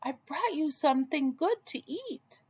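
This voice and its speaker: A cunning, hungry male wolf from a storybook, acted by a woman, coaxes with false sweetness. The voice leaps up high and swoops widely as it lures. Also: lively intonation, a little slow, a little mellow. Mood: falsely sweet.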